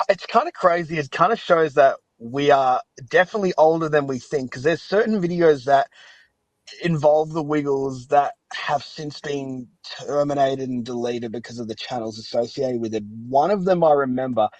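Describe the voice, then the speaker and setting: A young man hosts a casual podcast conversation. He rambles and reminisces with enthusiasm, starting and restarting thoughts as he goes. His voice is mid-pitched and recorded very dry. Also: dry recording, poor audio. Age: young adult. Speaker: podcast host. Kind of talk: casual chat interview.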